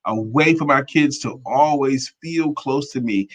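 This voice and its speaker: A man's voice: medium pitch, unhurried, lo-fi sound. Middle-aged American man co-hosting a casual talk show. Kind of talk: casual conversational talk, reading viewer comments.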